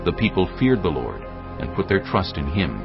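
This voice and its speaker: A man narrates scripture in a very deep, animated voice, with dramatic scripted delivery. The sound is slightly muffled, and cinematic music plays clearly behind him. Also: moderate pace.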